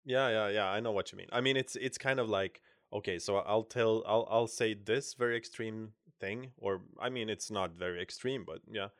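Man, very low voice, gravelly fry, relaxed podcast host, thinking aloud, dry close sound. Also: moderate pace, few pauses, no echo. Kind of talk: casual podcast chat.

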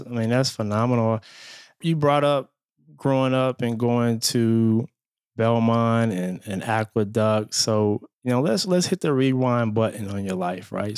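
A man's voice: medium pitch, unhurried, smooth, no echo, studio quality. A man hosting a casual podcast interview, upbeat and easygoing, often saying "man" and "you know". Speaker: podcast host.

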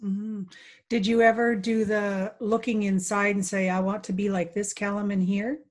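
A woman hosting a podcast interview, speaking softly and offering supportive backchannels. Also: mid-range voice, little pitch movement, moderate pace. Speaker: podcast host. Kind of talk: conversational interviewing.

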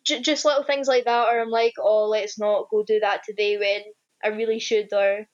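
A young woman with a strong Ayrshire Scottish accent speaks in an even, flat tone. She answers with hesitations and restarts, in the manner of a student teacher talking through her experience. The sound is dull and lo-fi, like audio from a call. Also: medium pitch, moderate pace, clean tone. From Scotland. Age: young adult. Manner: hesitant, many ums and restarts. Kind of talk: casual interview answers.

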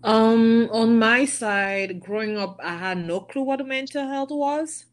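A woman's voice: fairly high pitch, slow pace. A woman from Africa, a guest in a casual chat, speaking candidly and plainly about personal experience.

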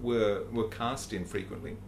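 Brief: male host, lower voice, lively pitch, admiring interviewer, faint guitar under. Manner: warm, admiring, halting. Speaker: podcast host.

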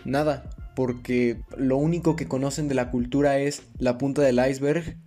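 A man's voice: medium pitch, steady tone, moderate pace, mellow, soft background music. A man with a Mexican accent giving a blunt, sarcastic opinion rant for a video, swearing freely. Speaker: YouTuber commentator.

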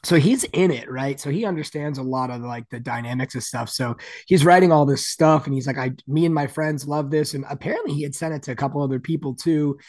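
A man with a deep, gravelly, raspy voice, chatting casually as a guest musician, with plenty of "like" and "you know" fillers. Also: brisk, hardly any pauses, darkish tone, no room sound, studio quality. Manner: rambling, many "like" fillers. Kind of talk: casual interview chat.

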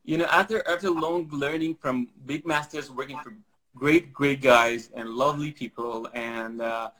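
A man's voice: mid-range voice, normal speed, fairly bright tone, poor audio. Rambling Syrian-raised man. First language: Armenian. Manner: rambling, many fillers and restarts. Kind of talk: casual interview answers.

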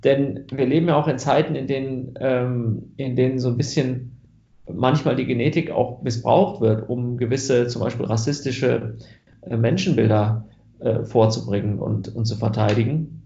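A man's voice: medium pitch, steady tone, normal speed, gravelly, dark tone, echoey room, highs cut off. A German man answering interview questions about genetics research, thoughtful, with many fillers and restarts.

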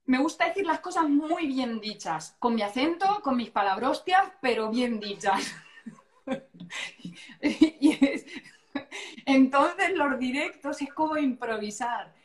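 A woman's voice: sits high, lively intonation, slow. A woman with an accent from Spain chatting casually as a guest, playful and digressive, often interrupting herself. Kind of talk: casual chat. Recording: echoey room sound.

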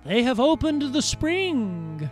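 A man voicing a grieving ghostly water-spirit woman in a storybook reading, slow and mournful. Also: very high-pitched, big pitch swings, soft background music, pitched above the performer's own voice, heavily acted. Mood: sorrowful.

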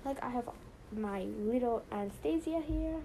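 High-voiced young woman hosting a podcast. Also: lively intonation, moderate pace, gravelly, background noise. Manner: chatty, rambling, lots of "so yeah". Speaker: podcast host. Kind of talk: casual rambling podcast.

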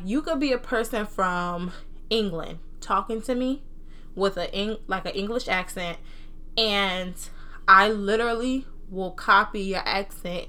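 A young woman from New Jersey with a mixed Jersey and Southern American accent, chatting casually and animatedly as a solo podcast host. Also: mid-range voice. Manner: animated, informal, slangy. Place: own room at home.

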